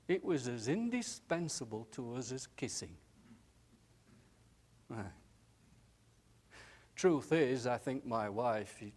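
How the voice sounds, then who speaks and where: Tender old widower, played by a man: drawn-out, halting delivery, warm nostalgic chuckles. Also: medium pitch, pitched below the performer's own voice. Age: older. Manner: slow, halting, chuckling, says "aye" often. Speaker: nursing home resident.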